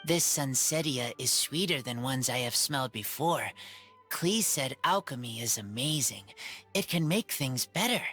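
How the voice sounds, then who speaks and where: A man voicing a simple, earnest wild teenage boy in a video game, with halting, plain short sentences. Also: mid-range voice, expressive, sharp tone, husky, soft background music, full character voice. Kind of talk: animated video game cutscene acting.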